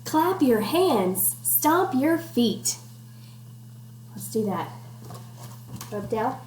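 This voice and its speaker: A woman's voice: a little high, very animated, drawn-out words, a little mellow. A woman teacher doing an interactive picture-book read-aloud for children at home, encouraging them with questions and instructions. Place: at home, indoors. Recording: echoey room with faint background noise.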